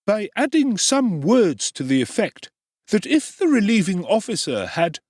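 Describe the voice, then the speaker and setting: A sly, fawning undertaker slides up high and drawls every agreement, his tone husky and close, as a man performs him. Heard in a dramatic audiobook, dry with no room sound. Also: sing-song, a little mellow, no room sound, exaggerated voice. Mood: musing. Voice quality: high, drawn-out, wheedling agreement. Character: fawning middle-aged male undertaker, ingratiating and sly.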